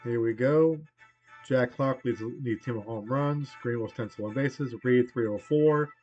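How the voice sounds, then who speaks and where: This is a man in his forties, host of a baseball replay, whose voice is worn hoarse by a lingering cold. He rambles loosely and often trails off mid-thought. The slightly muffled home recording makes his voice sound a bit dull. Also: medium pitch, unhurried, a little mellow, soft background music. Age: 40s. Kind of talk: casual play-by-play of a baseball game replay.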